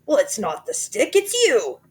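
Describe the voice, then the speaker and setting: A woman's voice: on the high side, wildly swooping pitch, a little slow, voice pushed up. A woman voicing a nasty old man who sneers and taunts his wife. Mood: gloating. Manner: sneering, teasing.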